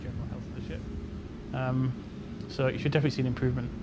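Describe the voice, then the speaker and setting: Man, medium pitch, casual technical explaining, hedging, noisy livestream audio. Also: level tone, racing, muffled recording. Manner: hedging, frequent "you know". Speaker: game developer on a panel.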